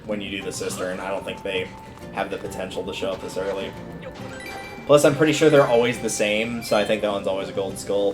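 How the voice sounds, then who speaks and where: A man giving casual live commentary on a video game, thinking aloud in colloquial language. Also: on the low side. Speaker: game livestreamer. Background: video game music.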